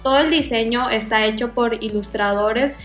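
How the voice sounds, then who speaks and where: A woman's voice: on the high side, normal speed, fairly bright tone. A young woman with a Mexican accent talks to camera in a casual, enthusiastic way, reviewing makeup as a video host. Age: young adult. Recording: roomy echo, music behind. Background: music.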